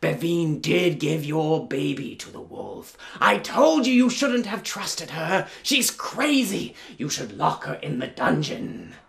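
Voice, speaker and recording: Guy doing a jealous old woman in a folktale, high and creaky, impatient, sly. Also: big pitch swings, slow, hardly any pauses, a bit bright, full character voice. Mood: sly, accusing. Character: jealous, scheming old queen mother. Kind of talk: live folktale storytelling.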